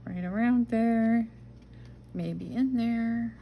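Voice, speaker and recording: Slow-spoken Dutch-accented woman crafting. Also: fairly high pitch, creaky, lo-fi sound, a little hiss or hum. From Netherlands. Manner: chatty, talks to herself while working, soft murmurs and whispers. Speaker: junk journal crafter. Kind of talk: casual craft-along narration.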